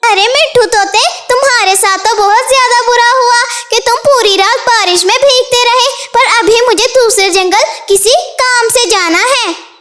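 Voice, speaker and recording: A woman's voice: very high-pitched, measured pace, fairly bright tone. A woman voicing a caring, helpful little female bird, earnest and concerned, in a children's cartoon. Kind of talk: Hindi children's cartoon dialogue.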